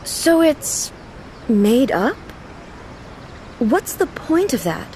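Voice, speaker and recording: A woman's voice: high-pitched, sing-song, a little mellow, a little hiss or hum, exaggerated voice, rough recording. A woman playing a composed, regal ruler in a video game, speaking formally with earnest curiosity. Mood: puzzled, earnest. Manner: formal, measured, earnest, literal-minded.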